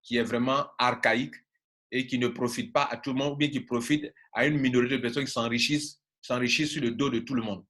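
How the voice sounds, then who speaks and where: A man's voice: mid-range voice, steady tone, fast talker, fairly bright tone. A man from Ivory Coast with an Ivorian accent and Baoulé as his first language, giving an informal online training talk in an emphatic, repetitive way full of rhetorical questions. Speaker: network marketing coach.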